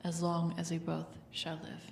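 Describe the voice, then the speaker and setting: A woman playing a calm, formal royal wedding officiant in an audio drama, speaking in measured, solemn phrases. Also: fairly low pitch, gravelly. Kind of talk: audio roleplay drama acting. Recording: echoey, distant mic, faint noise.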